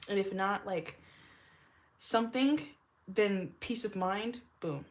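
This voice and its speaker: A young woman with a deep, smoky voice that she puts down to years of smoking. She gives a loose, rambling tarot reading, filling her sentences with "like" and trailing off mid-thought. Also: takes it slowly. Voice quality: deep smoker's voice. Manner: rambling, heavy use of "like", laughs often. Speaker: tarot reader. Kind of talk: casual tarot reading vlog.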